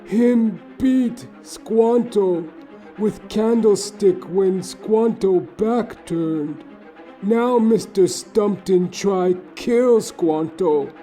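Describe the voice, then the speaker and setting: Wronged young man, high-pitched, extremely slow, halting broken English, naive, comic audio drama, faint music. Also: warm tone. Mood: plaintive.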